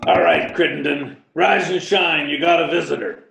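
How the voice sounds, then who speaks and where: Older man acting gruff, gravelly jail guard. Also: a little high, normal speed, fairly bright tone, faint music behind. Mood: gruff impatience. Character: gruff, slangy, impatient corrupt jail guard. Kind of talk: old-time radio play acting. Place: home room, video call. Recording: roomy home video call.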